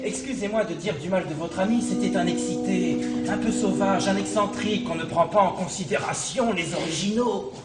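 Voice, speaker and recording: An actor playing a calm, reasoning man in a stage play, measured and lecturing as he soothes a friend. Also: sits high, close to an everyday voice. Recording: echoey stage from far off, music.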